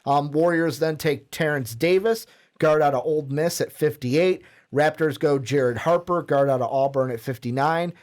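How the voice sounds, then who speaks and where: Male co-host, a bit higher pitch, loose conversational read with ums. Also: normal speed, a little mellow. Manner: casual, filler-heavy, self-correcting. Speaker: podcast co-host. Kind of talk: reading a mock draft list.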